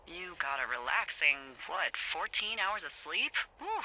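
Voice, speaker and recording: An actress playing a wry, bossy, foul-mouthed fire lookout supervisor in a video game, teasing and casual over a handheld radio. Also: female voice, lowish voice, very animated, a little slow, sharp tone, full character voice, low-quality recording. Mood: breezy, friendly. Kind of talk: naturalistic video game dialogue.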